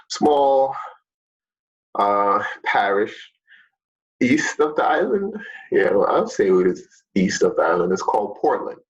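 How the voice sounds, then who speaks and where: Young male fitness teacher from Jamaica, very deep voice, relaxed and chatty, dull lo-fi sound. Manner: relaxed, chatty, many fillers. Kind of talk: casual talk to students.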